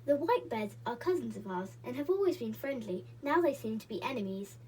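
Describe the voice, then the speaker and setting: A gruff, kindly father bear from a storybook rumbles his words in a growly way, as a woman puts him on. The growl sits close to the reader's own voice, kept at a plain pitch. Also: animated, brisk, a little mellow, a little hiss or hum, natural voice, low-quality recording.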